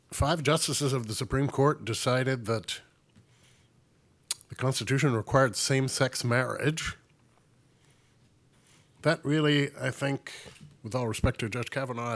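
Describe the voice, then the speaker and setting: A man with a hoarse voice from a cold, speaking as a panelist with earnest, stammering restarts that build into emphatic stretches. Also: mid-range voice, a bit bright. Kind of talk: panel discussion remarks.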